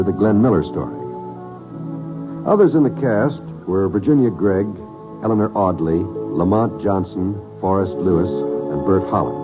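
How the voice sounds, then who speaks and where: Vintage radio announcer: American man, low register, formal scripted credits, muffled sound. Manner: formal, polished announcer delivery. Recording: dull vintage radio recording, music behind.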